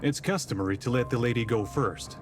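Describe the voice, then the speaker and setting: A man voicing a courteous, assured older gentleman of rank in a visual novel, speaking formally and politely. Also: medium pitch, a little fast, natural voice. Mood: courteous, firm. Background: music.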